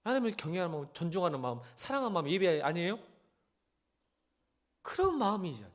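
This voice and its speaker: A male pastor delivers a church sermon in a very lively, widely swinging voice. He talks directly to his listeners in a conversational way, urging them on and putting rhetorical questions to them. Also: high voice, fast talker. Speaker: senior pastor. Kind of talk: church sermon with Bible reading.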